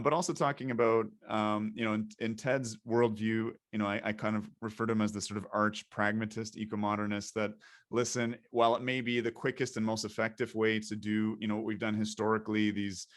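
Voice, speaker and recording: Man hosting a podcast, rambling and talkative, low voice, close dry sound. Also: flat delivery, brisk, no room sound. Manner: rambling, talkative, thinks aloud. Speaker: podcast host. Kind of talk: podcast interview.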